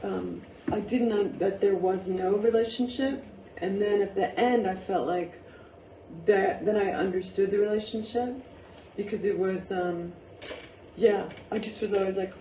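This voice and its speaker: A woman's voice: mid-range voice, fairly even intonation, moderate pace, warm tone, recorded from a distance, slight background noise. Reflective woman in a muffled, echoey room. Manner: reflective, emphatic, musing aloud in questions. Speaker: audience member giving play feedback, teacher. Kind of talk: informal post-reading discussion.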